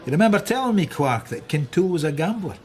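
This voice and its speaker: An actor playing a dogged, sardonic police inspector in a radio drama, with a brusque, probing way of talking. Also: male voice, a little high, very animated, quick pace, darkish tone, a little hiss or hum. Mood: intent, brisk.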